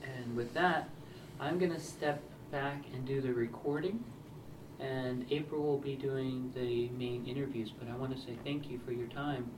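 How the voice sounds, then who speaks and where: A man's voice: medium pitch, fairly even intonation, moderate pace. A man in his thirties, interviewer and camera operator, talking casually with many hesitant fillers. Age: 30s. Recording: echoey room, distant noisy mic.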